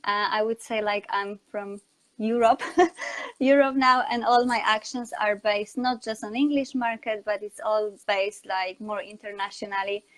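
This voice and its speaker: A woman's voice: mid-range voice, steady tone, measured pace, poor audio. A woman from Poland with a Polish accent, speaking non-native English as a guest in a conversational interview about her work. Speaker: interview guest, communication coach and online educator.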